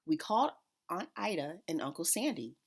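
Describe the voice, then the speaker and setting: A woman reading a children's picture book aloud in an expressive storytelling manner. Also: medium pitch, moderate pace, slightly rough. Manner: expressive, acts out characters' dialogue.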